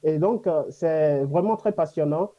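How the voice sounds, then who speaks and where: A man from Africa whose first language is a Gbe language, talking slowly and thoughtfully with frequent fillers. His voice is very dark and mellow and a little higher than usual. The recording is dull and lo-fi. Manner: hesitant, many fillers, reflective. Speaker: guest. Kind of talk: casual interview answers.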